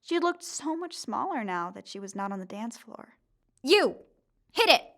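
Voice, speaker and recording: Woman playing earnest, high-voiced young zombie girl. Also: big pitch swings, moderate pace, fairly bright tone. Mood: determined. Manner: earnest, theatrical. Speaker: lead character and narrator. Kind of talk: audio drama acting and first-person narration.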